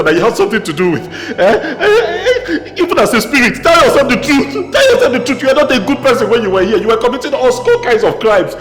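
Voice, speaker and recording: High-pitched, agitated Nigerian man, echoey. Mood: agitated, accusing. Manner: stammering, repetitive, agitated. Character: terrified, pleading, self-justifying haunted man. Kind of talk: film drama acting. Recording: echoey, reverberant hall sound with music.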